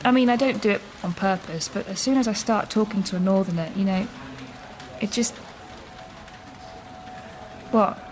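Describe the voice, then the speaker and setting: A woman playing a talkative woman in her thirties, chatty and quick to laugh, with a voice of middling pitch. She rambles a little as she talks, in a radio drama recording with steady noise behind her. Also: close to an everyday voice. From Leeds and Bristol. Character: chatty woman living in London, warm and talkative.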